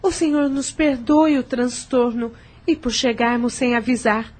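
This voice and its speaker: Woman playing a high-voiced, humble protective companion. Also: measured pace, darkish tone. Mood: apologetic. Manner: respectful, pleading. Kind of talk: audio drama acting.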